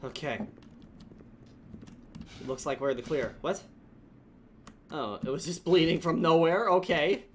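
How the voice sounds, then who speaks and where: A man's voice: on the high side, measured pace, pauses often, a bit bright, slight background noise. A young man streaming a game as a VTuber, chatting casually with his chat and laughing often. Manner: chatty, giggly, talks to chat. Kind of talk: casual gameplay livestream chat.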